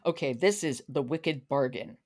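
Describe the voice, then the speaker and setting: A woman's voice: a bass voice, normal speed, darkish tone. A woman hosting a book podcast in a conversational interview style. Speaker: podcast host.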